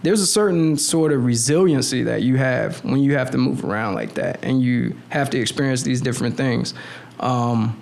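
A man's voice: mid-range voice, moderate pace, creaky, a little mellow. A man in his thirties chatting casually as a guest, slangy and rambling, often restarting his sentences. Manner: slangy, rambling, lots of restarts and "you know what I'm saying". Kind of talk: casual conversation.

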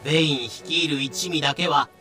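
A man's voice: on the high side, fairly even intonation, moderate pace, bright, forceful tone, faint music behind. A man delivering scripted dramatic narration as the storyteller.

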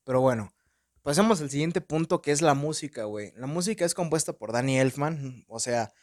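A man's voice: mid-range voice, lively intonation, fairly quick, a little mellow, no room sound, clean studio sound. Slangy Mexican guy reviewing a movie. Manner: slangy and profane, constant filler words. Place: room at home in front of a window.

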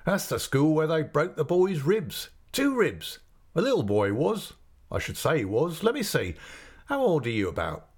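A man reading aloud puts on a sly, talkative storybook inn waiter, his voice pitched well above the reader's own. He wheedles and gossips, swinging up and down with lively, bouncing inflections. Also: normal speed, slightly rough, full character voice. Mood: gloomy relish. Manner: garrulous, wheedling, mock-dismayed. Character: chatty, greedy, sly male inn waiter.

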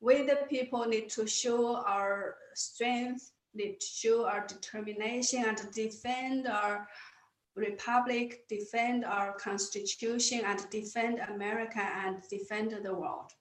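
Woman guest with a Chinese accent, slow and halting, earnest, echoey room. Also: on the high side, steady tone. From China. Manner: earnest, halting with restarts and repeated words. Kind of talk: interview answers.

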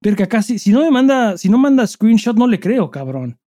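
A man's voice: sits high, big pitch swings, normal speed, dark tone, no room sound, studio quality. A Mexican man co-hosting a podcast in casual chat, swearing freely and speaking with emphasis in heavy slang. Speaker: podcast co-host.

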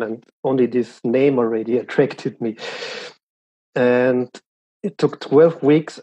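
A man talks slowly and haltingly, often pausing to find his words. His voice is husky and mellow. The recording sounds dull and lo-fi. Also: mid-range voice. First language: German. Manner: hesitant, pauses searching for words. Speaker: guest. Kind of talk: casual interview talk.

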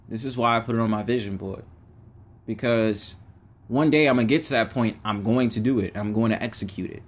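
A man hosting his own podcast, giving a casual, preachy motivational monologue in everyday slang. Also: mid-range voice. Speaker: podcast host.

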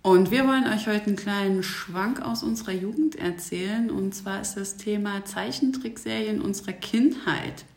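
A woman with a mid-pitched voice co-hosts a podcast in a very echoey room. She talks casually and informally and sounds inquisitive. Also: moderate pace, vocal fry, few pauses, a little mellow. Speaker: podcast co-host. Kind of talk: casual chat.

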